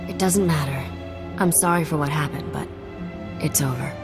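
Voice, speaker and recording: A woman's voice: low-pitched, moderate pace, big-room sound, music in the background, lightly acted. A woman playing a guarded, confident young smuggler's associate in a video game, controlled and matter-of-fact. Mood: regretful.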